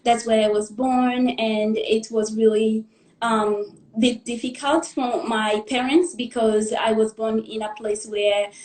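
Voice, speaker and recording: A young woman from the Democratic Republic of the Congo tells her life story as a guest in a live interview, in an earnest, halting way, pausing to gather her thoughts. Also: fairly high pitch, steady tone, a little mellow, reverberant, rough recording. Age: young adult.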